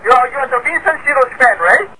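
A man's voice: extremely high pitch, lively intonation, fast talker, pushed and bright. A man making a prank phone call, repeating the same broken phrases over and over in a demanding way. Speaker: prank caller.

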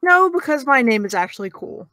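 A woman plays a young woman in an audio drama with a fairly high voice, recorded close and dry. She is smug and taunting, needling with sarcastic, teasing remarks. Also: very animated, fairly quick, pushed and bright, no echo, heavily acted. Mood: mocking. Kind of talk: audio drama dialogue of a video call.

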